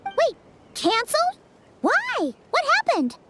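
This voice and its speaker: A woman voicing a tiny, childlike female fairy companion in a video game, squeaky, chatty and inquisitive. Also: huge pitch swings, slow, very noisy, exaggerated voice. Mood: startled. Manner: exclamatory, refers to herself by name. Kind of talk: animated video game voice acting.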